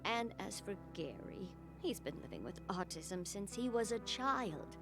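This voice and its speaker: A woman voicing a kind old woman who looks after orphaned children, speaking gently and reassuringly. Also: mid-range voice, sing-song, a little slow, over music. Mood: gentle. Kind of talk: game voice acting.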